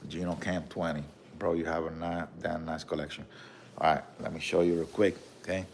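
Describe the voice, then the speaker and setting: A man with a Puerto Rican accent hosting a casual livestream, reading viewer comments and showing off his collection, with a relaxed manner and frequent "bro" and "okay?". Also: extremely low pitch, normal speed, slight background noise. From Puerto Rico. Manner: relaxed, repeats "bro" and "okay?", many fillers.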